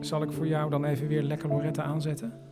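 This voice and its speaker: An encouraging grown son in a radio play played by a man. His voice is a bit high and everyday, upbeat, with music clearly behind. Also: monotone, quick pace, natural voice. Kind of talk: radio drama acting.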